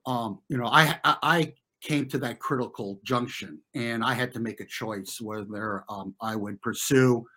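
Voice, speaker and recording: A middle-aged man with an American accent, telling personal stories as an interview guest in an informal, halting way, often circling back to rephrase. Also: medium pitch, sharp tone. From US, New York and New Jersey. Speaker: interview guest, former competitive swimmer. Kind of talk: reflective conversational storytelling.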